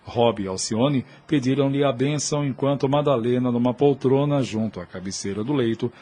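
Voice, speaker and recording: A man narrating a scripted audio novel in a solemn, measured storytelling manner. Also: medium pitch, mellow.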